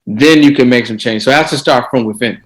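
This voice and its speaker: A man's voice: mid-range voice, fast, low-quality recording. Man coaching, repeats himself. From Boston. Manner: repeats words while gathering thoughts. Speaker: guest coach. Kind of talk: casual podcast interview.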